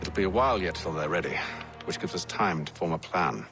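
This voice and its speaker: An actor playing a dry, confident gentleman assassin of the eighteenth century, in a game cutscene. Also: sits low, expressive, brisk, faint music behind. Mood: measured, calculating. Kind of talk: video game cutscene acting.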